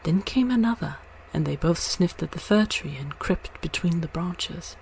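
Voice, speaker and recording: A woman's voice: on the low side, lively intonation, moderate pace, creaky, darkish tone, rough recording. A woman narrating a storybook as a read-aloud, with some noise behind her voice. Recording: noticeable background noise.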